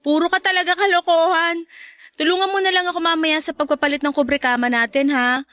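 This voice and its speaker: Woman acting a teasing single mom girlfriend, really high voice, dry studio radio drama. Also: fairly even intonation, a little fast, fairly bright tone, no room sound. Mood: playful teasing. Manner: playful, teasing. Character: Jem, warm teasing single mother in love. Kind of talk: Tagalog radio drama dialogue.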